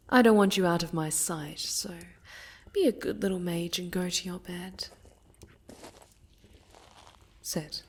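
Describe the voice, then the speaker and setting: A woman playing a sinister, seductive necromancer villain in a fantasy ASMR roleplay, soft-spoken and half-whispered, with menacing, deliberate delivery. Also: on the low side, slightly rough, heavily acted. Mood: seductive, commanding. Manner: slow, deliberate, menacing, savoring pauses.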